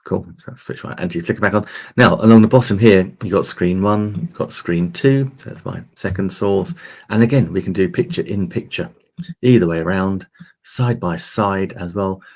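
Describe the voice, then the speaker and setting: A man with a very low, gravelly voice walks viewers through a software demo in a loose, chatty way, full of fillers and restarts. His tone is a bit husky and a little croaky, and he talks into a desk microphone. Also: moderate pace. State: slightly croaky voice. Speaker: host demonstrating streaming gear. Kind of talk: casual tech demo talk.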